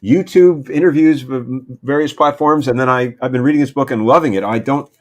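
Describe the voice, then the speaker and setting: A man's voice: medium pitch, fairly quick. A man talking casually as a podcast guest, with a wry, joking manner. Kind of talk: casual group chat.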